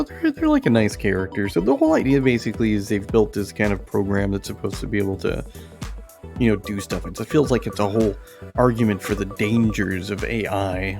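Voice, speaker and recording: A man hosting casual, unscripted game commentary, halting and rambling as he searches for words. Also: fairly low pitch, creaky, darkish tone. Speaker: host of an indie game review channel.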